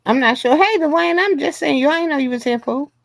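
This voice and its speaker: A woman's voice: high voice, animated, brisk, creaky, no echo. A woman hosting a casual live stream, joking and slangy with her guests. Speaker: livestream host.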